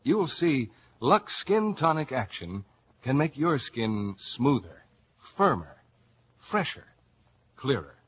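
A man's voice: medium pitch, sing-song, slow, a bit bright, slight background noise. A man with an American accent announcing in a polished 1950s radio commercial style. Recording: dull old radio recording.

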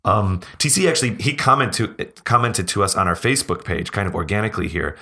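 A man hosting a podcast, talking casually and laughing often, his voice hoarse as if he is losing it. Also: fairly low pitch, expressive, fast talker, sharp tone. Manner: chatty, laughing, rambling restarts. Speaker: podcast host.

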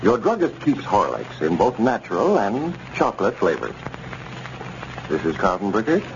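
A man announcing on 1930s radio, reading a scripted sponsor pitch in a polished, measured, formal way. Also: huge pitch swings. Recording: dull, noisy 1930s radio recording.

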